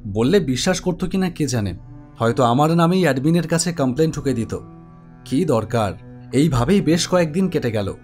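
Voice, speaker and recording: Male actor as office-worker narrator for animated horror tale, slightly high voice, suspenseful storyteller, music underneath. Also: moderate pace, natural voice. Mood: reflective narrating. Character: male office web developer narrating his ghost story. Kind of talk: scripted first-person horror story narration. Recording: music behind the voice.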